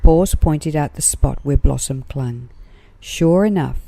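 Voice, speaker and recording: A woman's voice: deep voice, takes it slowly, creaky, mellow, dry recording. A woman narrating a children's story in a scripted read-aloud style.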